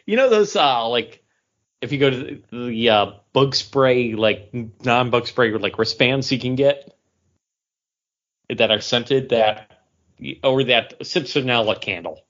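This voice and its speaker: A man's voice: mid-range voice, takes it slowly, a bit bright. Meandering man on a lo-fi podcast call. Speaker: podcast co-host. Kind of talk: casual podcast chat. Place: remote voice call. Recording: dull lo-fi internet call audio.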